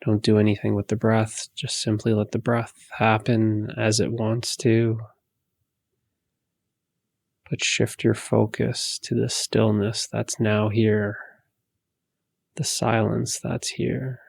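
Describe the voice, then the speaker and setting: Guy doing a guided meditation, soft n soothing, lots of fry, super dry close sound, long pauses. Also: lowish voice, monotone, no room sound. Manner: soothing, hushed, unhurried instruction with long pauses.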